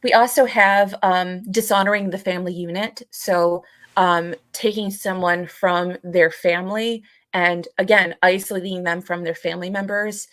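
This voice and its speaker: A woman's voice: fairly low pitch, steady tone, moderate pace, a bit bright, no room sound. A woman co-hosting a podcast, explaining points in casual discussion and often rewording them as she goes. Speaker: live podcast co-host. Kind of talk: casual explanatory discussion going through a list.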